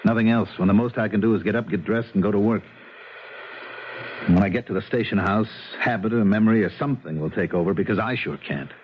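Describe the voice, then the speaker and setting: A man's voice: fairly low pitch, fast, close to an everyday voice. An actor playing a weary, self-deprecating middle-aged policeman in a 1970s radio drama, with a plainspoken, grumbling way of talking. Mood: weary, resigned. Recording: dull, noisy vintage radio recording.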